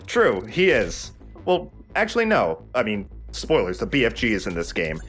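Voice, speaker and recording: Lively man doing casual game commentary. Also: on the low side, moderate pace, soft background music. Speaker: let's play host and gamer.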